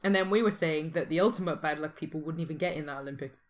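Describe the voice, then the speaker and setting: A woman's voice: sits low, quick pace. A woman co-hosting a podcast in casual, teasing, informal conversation. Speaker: podcast co-host.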